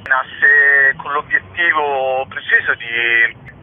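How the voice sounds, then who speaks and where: A man's voice: mid-range voice, slow. A man speaking as an interview guest, a theatre festival curator explaining his work. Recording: narrow, tinny phone line.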